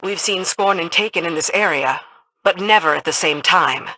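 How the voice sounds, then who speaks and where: Game lieutenant: dutiful, crisp, forceful edge, lowish female register. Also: normal speed, poor audio. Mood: serious. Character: brisk, dutiful female military lieutenant to a queen. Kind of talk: video game mission dialogue.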